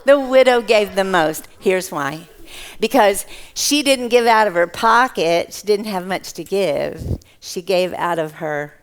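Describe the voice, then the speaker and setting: A woman's voice: medium pitch, expressive, unhurried, clean tone. A woman telling Bible stories live to children in a theatrical way, with repetition and questions. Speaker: children's storyteller at church Bible school.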